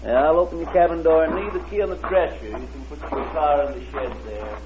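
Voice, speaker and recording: An actor playing a folksy, talkative middle-aged auto court proprietor in a 1940s radio drama. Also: male voice, fairly high pitch, quick pace, natural voice. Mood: obliging. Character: folksy, chatty gray-mustached male auto court proprietor. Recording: muffled, crackly vintage radio recording.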